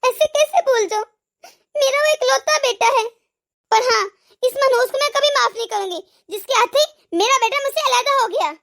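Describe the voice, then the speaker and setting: Cartoon role: a proud, lonely mother, sorrowful and high-voiced, voiced by a woman. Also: moderate pace, gravelly, a bit bright, heavily acted. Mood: bitter. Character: regretful, lonely, proud middle-aged mother. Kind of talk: animated family drama dialogue.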